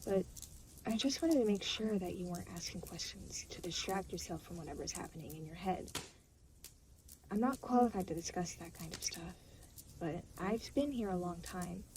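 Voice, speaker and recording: A woman's voice: fairly low pitch, moderate pace, noisy background, close to an everyday voice. A woman playing an aloof, reluctant, weary demon guide in an intimate audio roleplay, speaking in a dry, matter-of-fact, hesitant way. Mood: guarded concern. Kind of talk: intimate scripted audio roleplay spoken to the listener.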